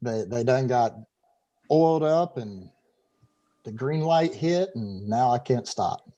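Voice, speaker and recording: A middle-aged man with a mellow, darker voice, heard over a video call from a room at home. He talks casually and rambles, stumbling over and repeating his words. He sounds like an easygoing guest in an online interview. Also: mid-range voice, lively intonation, slow pace, highs cut off. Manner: talkative, stumbles and repeats words, laughs easily.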